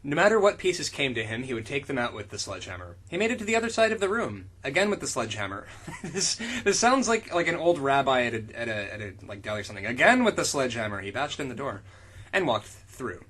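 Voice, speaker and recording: A man with a bright, forceful, raspy voice co-hosts a story reading. His pitch moves around a lot as he reads and riffs sarcastically on the writing. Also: sing-song, quick pace. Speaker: podcast co-host reading fan fiction aloud. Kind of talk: amused reading with sarcastic riffing.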